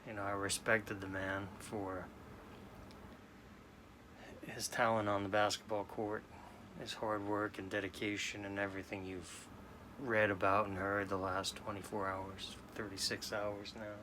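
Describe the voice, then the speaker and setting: Sad late-40s guy from new york, halting, lots of restarts, bright tone, pretty flat. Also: on the low side, a little hiss or hum. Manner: halting, subdued, many restarts. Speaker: sports card vlogger. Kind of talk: casual personal talk to camera.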